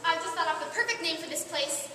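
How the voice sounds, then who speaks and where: A woman's voice: extremely high pitch, lively intonation, quick pace, sharp tone, full character voice. A young woman playing a chatty, self-assured, curious first woman in an amateur stage play, speaking in a precise, declamatory and self-satisfied way. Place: large echoey hall, live stage performance. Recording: echoey hall, distant mic, noisy.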